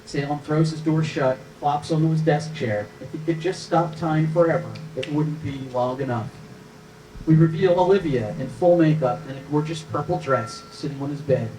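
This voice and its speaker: A man's voice: fairly high pitch, moderate pace, warm tone. A man reading screenplay stage directions as scripted narration at a live table read, picked up by a distant microphone.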